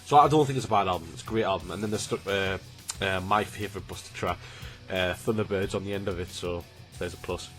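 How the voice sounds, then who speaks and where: A young man with a slightly blocked, snotty voice from a cold, speaking in a fairly low register. He chats loosely to his viewers, circling back with ums and restarts. A faint strand of music sits quietly behind him. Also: fast. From Newcastle, England. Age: 20s. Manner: rambling, chatty, with frequent ums and restarts. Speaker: YouTuber. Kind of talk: casual chat to camera.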